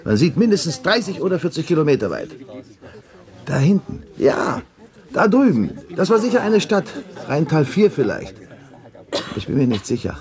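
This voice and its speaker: A man's voice: a little high, big pitch swings, normal speed, darkish tone. An actor playing a weary, nostalgic elderly father in a 1970s radio drama. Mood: awed. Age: older. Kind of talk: 1970s German radio play acting. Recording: busy crowd noise behind the voice.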